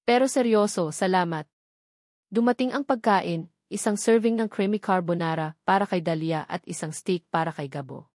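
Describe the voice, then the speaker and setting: A woman's voice: fairly low pitch, moderate pace, a little mellow, no echo, clean studio sound. A woman reading a scripted romantic drama story as its narrator.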